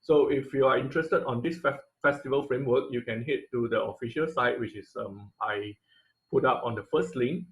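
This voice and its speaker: A man's voice: medium pitch, fairly quick, a bit bright. Man lecturing with tag questions. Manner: explanatory, frequent "uh" and "right?" tag questions. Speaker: robotics instructor. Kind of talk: online class lecture over slides.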